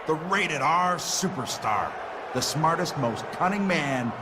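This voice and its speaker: A man's voice: a little high, lively intonation, slow pace. A man playing an arrogant, scheming wrestling champion in a video game cutscene, taunting and boastful. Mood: gloating. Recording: game cutscene with ambient noise.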